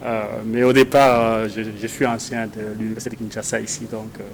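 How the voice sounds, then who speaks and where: A man with a Congolese French accent answering interview questions haltingly, often repeating words as he searches for phrasing. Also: on the low side, flat delivery. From Democratic Republic of the Congo. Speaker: guest, researcher and doctoral student. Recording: clear background noise and ambience.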